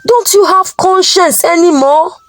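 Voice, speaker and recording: Slow, pleading Nigerian father, voiced by a woman. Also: extremely high pitch, faint music behind, voice pushed up. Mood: earnest pleading. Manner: solemn, imploring. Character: earnest, pleading village father advising his daughter.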